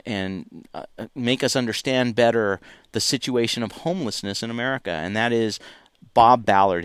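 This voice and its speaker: A man's voice: lowish voice, smooth, no echo. A man hosting a talk radio show, speaking enthusiastically and rambling, with many restarts. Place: radio studio.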